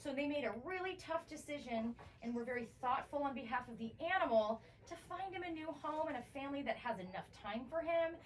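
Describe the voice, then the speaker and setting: A woman's voice: sits high, animated, fast talker, hardly any pauses. A woman giving an educational tour of an animal shelter for children, explaining things simply and enthusiastically. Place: indoor animal shelter rooms. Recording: distant mic, faint background noise.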